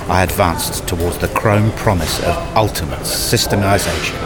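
A man plays an earnest, fussy husband and father in a radio play. His voice sits fairly low and he speaks deliberately, like someone who likes things in order. A light layer of noise runs behind him. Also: lightly acted. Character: Rob, earnest pedantic tired husband and father narrating his day. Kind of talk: radio play dialogue and first-person narration.

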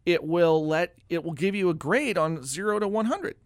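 A man's voice: a little high, lively intonation, moderate pace, dry recording, studio quality. A man hosting a talk radio show as a financial adviser, explaining things in an informal, folksy, conversational way. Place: radio studio.

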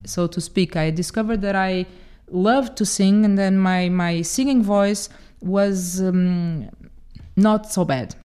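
A woman in her forties, from the Dominican Republic and Portugal, telling her story as a podcast guest with many pauses and restarts. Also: medium pitch, warm tone, clear, resonant tone. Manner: hesitant, many fillers and restarts. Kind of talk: casual interview talk.

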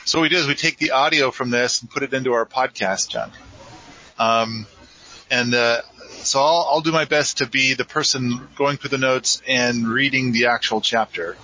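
A man's voice: medium pitch, moderate pace, bright, forceful tone, dull audio. A man hosting a live podcast in a rambling, casual, self-deprecating way. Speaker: podcast host.

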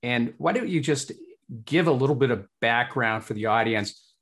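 A man from England hosting a casual interview, with halting phrasing that circles around its point. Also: mid-range voice, animated, a bit bright. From born in Hull, Yorkshire, England. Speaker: podcast host.

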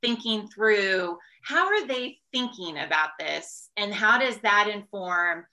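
A woman talks as a guest educator in an interview. Her voice is bright and forward at a medium pitch. She explains in a conversational way, often checking in with the listener. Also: lively intonation, slow pace.

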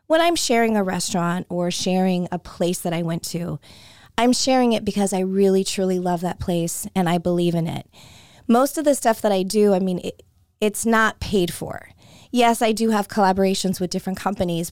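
A woman hosting a solo podcast in a chatty, conversational way, her voice hoarse and coming and going. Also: mid-range voice, darkish tone, no echo, clean studio sound. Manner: chatty and conversational, with frequent restarts. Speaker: podcast host. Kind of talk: casual solo podcast talk.

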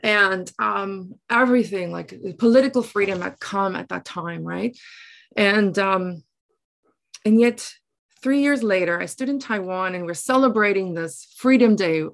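A middle-aged woman from South Africa with an Afrikaans accent, telling personal stories reflectively in an earnest way, often halting to search for words. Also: mid-range voice, vocal fry. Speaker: interview guest, writer. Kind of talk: reflective personal storytelling in conversation.

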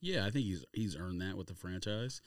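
A man's voice: sits low, animated, a little fast, no echo. A man co-hosting a studio podcast in casual banter, blunt, opinionated and full of slang. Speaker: podcast co-host.

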